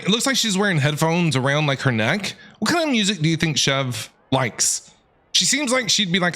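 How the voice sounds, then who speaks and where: A man doing casual, slangy game reaction commentary as a streamer, excitable in manner. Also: a little high.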